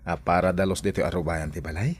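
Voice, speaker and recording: An actor playing a friendly, kindly young employer in an Ilocano radio drama, with an Ilocano accent. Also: male voice, deep voice, fairly even intonation, quick pace, husky. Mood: amused, kindly.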